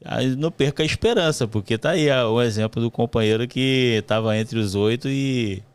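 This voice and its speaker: A man's voice: medium pitch, moderate pace, clear, resonant tone. A Brazilian man with a Rio de Janeiro accent, hosting a podcast and interviewing in a conversational way. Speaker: podcast host, letter carrier.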